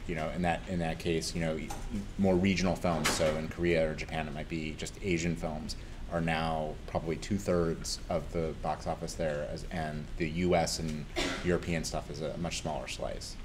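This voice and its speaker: A man on an industry panel explains trends in a very deep, flat voice. He is matter-of-fact and steady, with faint noise in the room. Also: normal speed. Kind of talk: panel discussion.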